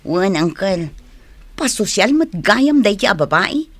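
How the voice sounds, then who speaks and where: A Filipino actress playing a chatty, gossipy niece in a radio drama. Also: female voice, medium pitch, sing-song, a little slow, dry recording.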